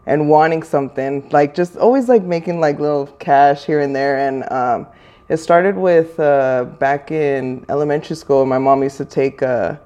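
A woman's voice: low voice, moderate pace, warm tone, dull audio. Chatty woman, easy laugh. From Norwalk, California. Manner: laughing, chatty, many fillers. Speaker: guest, small business owner. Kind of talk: casual interview.

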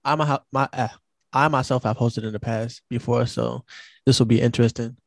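A man around thirty hosts a podcast in an easygoing, slangy way, dropping casual fillers as he goes. His voice sits in an ordinary middle pitch. The sound is dry and close, with no room around it. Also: steady tone, a little fast, creaky, dry recording. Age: 30s. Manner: laid-back, slangy, lots of fillers. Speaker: podcast host.

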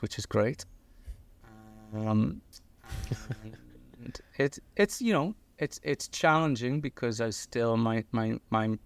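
A man's voice: medium pitch, smooth, no room sound. A middle-aged man from the north of England with a Liverpool accent, a podcast guest telling his story casually with fillers and restarts. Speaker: guest, creative director of a video company.

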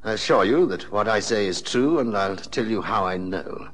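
An actor playing a menacing, mock-courteous blackmailer with a violent temper in a radio drama. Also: male voice, lowish voice, a bit bright. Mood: smug, insinuating. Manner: mock-courteous, deliberate, threatening.

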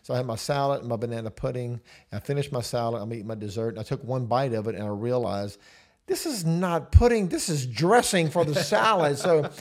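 A man's voice: medium pitch, brisk, a little mellow. A man, a pastor chatting casually and enthusiastically, often saying "man". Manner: enthusiastic, says "man" a lot. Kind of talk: casual church conversation.

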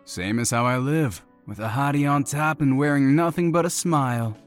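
A man's voice: medium pitch, moderate pace, faint music behind, lightly acted. An actor playing a cocky, flippant young womanizer in an audio drama, teasing and sarcastic. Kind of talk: naturalistic audio drama acting.

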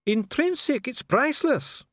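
Man voicing a stiff, high-voiced dwarf official. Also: very animated, normal speed, dry recording, clean studio sound. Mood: haughty. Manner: formal, precise, pompous. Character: stiff, solemn, disdainful male dwarf official. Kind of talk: audiobook character acting.

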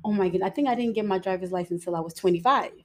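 Woman in her 30s from Ghana, a giggly, chatty podcast guest with an average-pitched voice. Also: rapid-fire, loud music behind the voice. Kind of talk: faith-based podcast interview.

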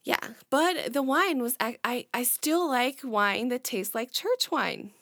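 A woman's voice: a little high, animated, takes it slowly, fairly bright tone, no room sound, clean studio sound. A woman whose first language is Spanish, chatting casually in a rambling, hesitant way, often repeating herself. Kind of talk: casual chat.

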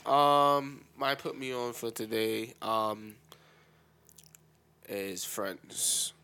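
A man's voice: mid-range voice, very slow, sharp tone, clear, resonant tone. A man co-hosting a talk show, speaking casually and without a script. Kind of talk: casual unscripted chat.

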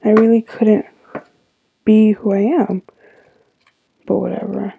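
A teenage girl hosting her own podcast, sounding stuffy and tired, rambling with lots of fillers in a soft, confiding way. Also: medium pitch, flat delivery, slow, vocal fry, narrow, tinny audio, slight background noise. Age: teens. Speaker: podcast host. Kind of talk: casual solo podcast talk.

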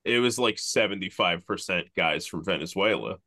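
A man co-hosting a podcast in a low voice, recorded dry and close. He talks casually and jokes at his own expense. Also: normal speed, sharp tone, dry recording. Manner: self-deprecating, laughs at himself. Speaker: podcast co-host.

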